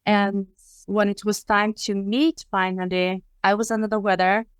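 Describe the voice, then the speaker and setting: Bulgarian-accented woman, smooth clear voice. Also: medium pitch, flat delivery, dry recording, studio quality. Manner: measured, with frequent ums and uhs. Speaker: interview guest. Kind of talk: casual conversation.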